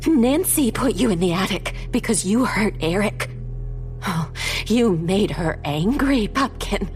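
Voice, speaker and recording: An actress plays a woman who is both frightened and exasperated. Her voice is fairly high and very animated, with slow, strained delivery. Music plays clearly behind the audio drama dialogue. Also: close to an everyday voice. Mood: tense, defiant. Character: frightened, exasperated adult sister confronting a puppet.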